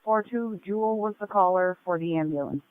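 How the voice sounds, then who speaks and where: A woman's voice: on the low side, brisk, clear, resonant tone, no room sound. A woman working as an emergency dispatcher, giving terse replies over a two-way radio. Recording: tinny narrow-band scanner radio.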